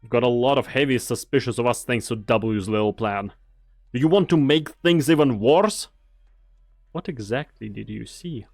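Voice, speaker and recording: A man in a fan dub of a game, playing a weary, guarded mercenary with a grave, measured, commanding delivery. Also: mid-range voice, vocal fry, darkish tone, soft background music. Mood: stern. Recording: long reverb on the voice.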